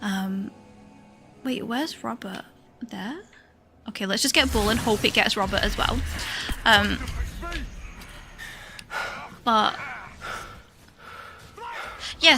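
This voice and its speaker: A shy woman with a high voice chatting hesitantly while streaming a game, full of fillers and little laughs. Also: measured pace, pauses often, over music. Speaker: live streamer. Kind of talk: casual chat while playing a game.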